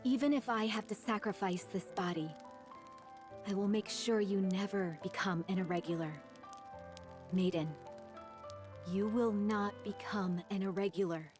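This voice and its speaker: A woman's voice: medium pitch, measured pace, pauses often, darkish tone, soft background music, natural voice. A woman playing a loyal, self-dramatizing young warrior who speaks formally and solemnly in a game dub. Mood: resolute, devoted. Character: grandiose, loyal young woman warrior with dark-fantasy delusions. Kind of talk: English game dub voice acting.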